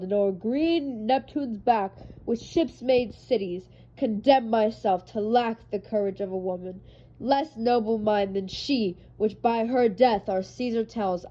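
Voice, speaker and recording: A woman's voice: fairly high pitch, warm tone, pitched above the performer's own voice, close to an everyday voice. Woman reciting as an anguished Roman general, muffled recording. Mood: ashamed, grieving. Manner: solemn, deliberate declamation. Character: defeated, anguished Roman general pleading to die. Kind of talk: dramatic recitation of a Shakespeare monologue. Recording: dull lo-fi sound.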